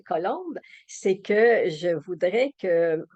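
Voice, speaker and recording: A woman's voice: fairly low pitch, lively intonation, drawn-out words, darkish tone, highs cut off. A woman hosting an interview, asking long, hesitant questions with fillers and restarts. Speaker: interview host. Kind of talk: warm conversational interviewing.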